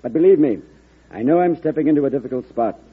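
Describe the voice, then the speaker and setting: A humble, respectful statesman in a 1940s radio drama. He is earnest and deferential, with a very rough, raspy voice of middling pitch on a narrow old recording. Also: animated, fast talker. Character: respectful, humble newly sworn American president.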